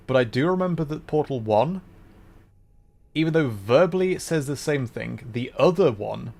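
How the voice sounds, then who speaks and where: A man's voice: mid-range voice, darkish tone. A man doing casual live gameplay commentary, thinking aloud and playfully repeating words as he goes. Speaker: VTuber game streamer.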